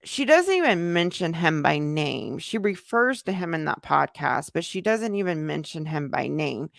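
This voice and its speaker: A woman's voice: deep voice, big pitch swings, moderate pace, gravelly, no room sound, studio quality. An American woman hosting a casual livestream, talking conversationally in loose fragments as she responds to viewers. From United States. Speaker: livestream host, YouTuber. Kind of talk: casual livestream chat, answering viewer comments.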